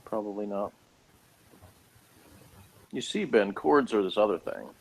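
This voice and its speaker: A man's voice: lowish voice, animated, unhurried, gravelly, low-quality recording. An American man chatting casually as a co-host of a review show, with a laid-back, dry, blunt manner. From United States. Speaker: podcast co-host reviewing a TV episode. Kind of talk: casual chat.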